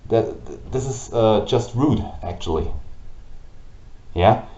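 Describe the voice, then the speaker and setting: A man with a German accent, whose first language is German, hosting a gaming channel and ranting slowly and haltingly about it to camera. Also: lowish voice, animated, mellow. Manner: halting, with restarts and pauses. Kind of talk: frustrated rant to camera. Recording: roomy, dull lo-fi audio.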